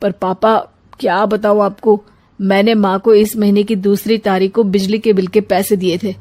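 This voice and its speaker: A woman's voice: mid-range voice, little pitch movement, moderate pace, dark tone, lightly acted. A woman voicing a puzzled, complaining adult son in a family story, plaintive and questioning.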